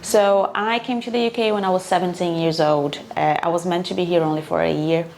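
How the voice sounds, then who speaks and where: A woman's voice: fairly low pitch, steady tone, fairly quick, vocal fry, a little mellow, slight background noise. A woman in her thirties from Brazil with a Brazilian accent, chatting as an interview guest with frequent uh fillers. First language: Portuguese. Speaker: guest, property investor. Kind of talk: casual interview chat.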